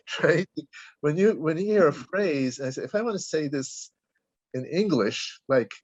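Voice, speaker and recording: A man's voice: a little high, expressive, moderate pace, dry recording. An older man, Tagalog his first language but raised in America, talking reflectively in a casual group discussion and often restarting as he searches for words. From Philippines, raised in the United States. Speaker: reading group participant. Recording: dull lo-fi dry sound.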